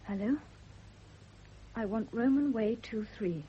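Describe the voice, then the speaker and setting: An actress playing an anxious, devoted young wife in a vintage radio drama. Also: female voice, fairly high pitch, expressive, slow. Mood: polite, composed. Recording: dull, hissy vintage radio recording.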